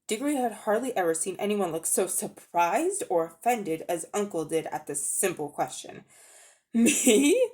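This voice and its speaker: A woman's voice: fairly low pitch, expressive, moderate pace, hardly any pauses, a little mellow. A woman reading a children's novel aloud as a dramatic storybook narrator.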